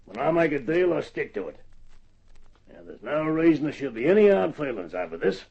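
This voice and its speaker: Gravelly, sly Australian bush horseman. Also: on the high side, sing-song, a little fast, full character voice. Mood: firm, breezy. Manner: folksy, colloquial, drawling country speech. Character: blunt, teasing bush horseman, folksy and sly. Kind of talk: old-time radio drama acting. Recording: muffled old radio transcription recording.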